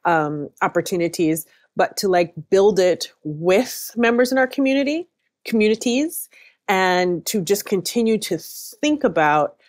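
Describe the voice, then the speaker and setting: Lowish-voiced woman, earnest and reflective. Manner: earnest, thinking aloud in rhetorical questions. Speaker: theater artist, panel guest. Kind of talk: conversational interview answer. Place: small plain room, indoors, video call. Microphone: wired earphones on a video call.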